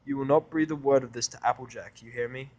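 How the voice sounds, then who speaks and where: A man playing a grumpy, defensive, sarcastic character with a gruff, exasperated, blunt manner. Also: medium pitch, fairly even intonation, fast, a little mellow, highs cut off, lightly acted. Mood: grudging.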